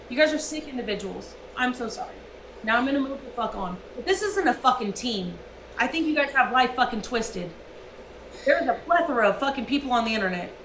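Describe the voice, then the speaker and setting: A woman's voice: high voice, pushed and bright, reverberant, slight background noise, rough recording. A woman livestreamer delivering a profane, emphatic rant.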